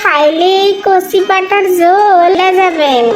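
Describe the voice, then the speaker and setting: A woman's voice: very high-pitched, slow. A woman voicing a cranky, fault-finding older mother-in-law in a cartoon, scornful and complaining, with an East Bengali accent. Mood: indignant. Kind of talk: cartoon family comedy acting. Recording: loud background music under voice. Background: music.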